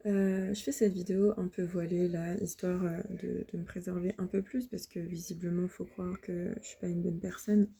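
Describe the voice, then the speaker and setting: A woman in her thirties from France, recording a halting, upset personal appeal to viewers. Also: lowish voice, little pitch movement, gravelly, dark tone, breathy edge. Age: 30s. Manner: halting, many hesitations and restarts; upset, defensive. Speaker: vlogger. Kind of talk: personal video appeal to the public.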